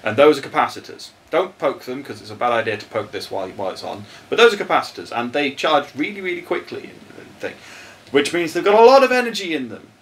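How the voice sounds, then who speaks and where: A man's voice: mid-range voice, very animated, fast talker. A man co-hosting a casual podcast, explaining electronics in plain terms and laughing often. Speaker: podcast co-host answering tech questions. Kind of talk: casual chat.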